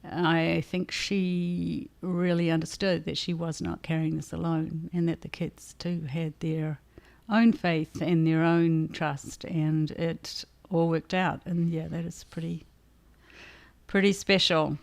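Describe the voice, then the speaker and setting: A woman co-hosting a livestream as an author, chatting casually and answering audience questions in a thoughtful, halting way. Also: deep voice, level tone, gravelly, a little mellow.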